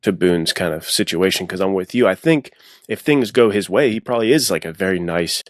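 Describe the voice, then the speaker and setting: Deep-voiced man in casual conversation. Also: lively intonation, a little fast, no echo, studio quality. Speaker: guest author. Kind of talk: casual podcast discussion of fiction writing.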